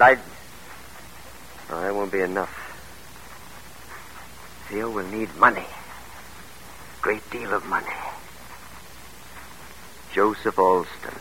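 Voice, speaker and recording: A man's voice: fairly low pitch, pushed and bright, a little hiss or hum, full character voice, rough recording. An actor playing an ambitious, commanding middle-aged politician father in an old-time radio drama, with emphatic theatrical delivery. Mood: calculating, persuasive. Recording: old radio broadcast recording.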